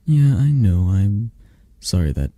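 A man playing a gentle, teasing, protective dragon boyfriend at university in an intimate ASMR roleplay, speaking softly and coaxingly to the listener. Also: on the low side, sing-song, slow, no room sound. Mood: reassuring, apologetic.

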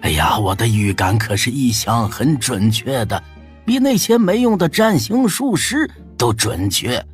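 A boisterous old aristocrat for a dramatised audiobook, lecherous and merry with drink. The delivery is theatrical and swoops up and down in pitch, over soft music. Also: male voice, sing-song, measured pace. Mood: boisterous delight. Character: tipsy, lecherous, jovial old nobleman, thin and boisterous. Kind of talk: dramatised audiobook character acting.

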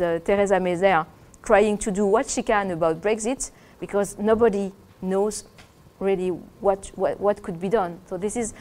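A woman's voice: medium pitch, dark tone. A woman in her fifties, an economist answering audience questions in a seminar room, thoughtful and hesitant with many restarts. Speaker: economist giving a seminar talk.

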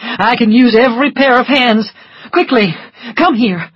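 A woman reading a story aloud, voicing an exhausted, gruff middle-aged male doctor who speaks slowly and curtly. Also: fairly high pitch, no room sound, dull audio, pitched above the performer's own voice. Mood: weary. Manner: slow, weary, curt.

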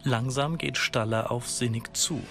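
A man narrates scenes in short, neutral scripted sentences. His German accent is standard and his pitch is average, with distinct music underneath. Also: unhurried, creaky. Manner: neutral, concise. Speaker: audio-description narrator.

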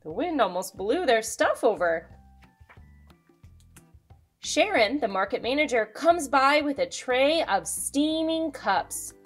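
A woman in her thirties, a children's host reading a picture book aloud with expressive storytelling delivery. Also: sits high, slow pace, soft background music. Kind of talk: storybook read-aloud with asides to young viewers.

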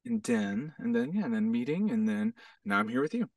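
A man from the United States chatting casually as a guest and telling stories in an animated way. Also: fairly high pitch, brisk, creaky, no echo, clean studio sound, far from the mic. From United States: Hawaii and Arizona. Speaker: podcast guest, actor and stunt performer. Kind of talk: casual chat, storytelling.

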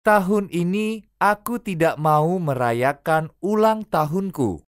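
Slow, careful woman reading phrases. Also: on the low side, lively intonation, dry recording, clean studio sound. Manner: careful, clearly enunciated. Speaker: native Indonesian speaker reading phrases.

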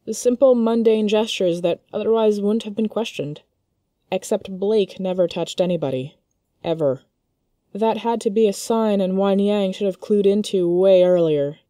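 A woman narrates a fan-fiction story from a script. Her voice is soft-edged and mellow at an ordinary female pitch, recorded very dry with hardly any room around it. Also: moderate pace, dry recording.

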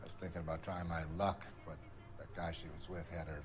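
A man's voice: low voice, little pitch movement, a little fast, over music, close to an everyday voice. A man playing a middle-aged, leering diner cook in a video game, talking in an offhand, suggestive way. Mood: wistful, leering. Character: older male diner cook, leering, world-weary. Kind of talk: naturalistic video game acting.

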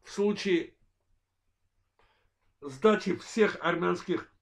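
A man in his forties from Azerbaijan with a worn voice, delivering a political analysis monologue in measured, halting short phrases as host of his own channel. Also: sits high, creaky. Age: 40s. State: voice worn, apologizes for it.

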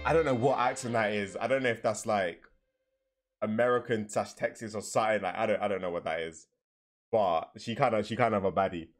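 A young man giving casual, excitable, slangy and sweary reaction commentary. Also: fairly low pitch, a little fast. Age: young adult. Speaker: reaction video host. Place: indoors, with trailer audio behind.

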